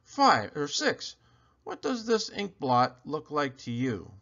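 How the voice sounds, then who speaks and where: A man reading a picture book aloud in an animated storytelling style, with theatrical emphasis on questions and lists. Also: fairly high pitch, takes it slowly, lo-fi sound. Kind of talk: animated children's read-aloud narration.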